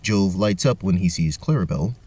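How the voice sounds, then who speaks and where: A man's voice: sits low, lively intonation, a little fast, dark tone, recorded from a distance, a little hiss or hum. A man narrating a scripted tabletop fantasy story as the game master.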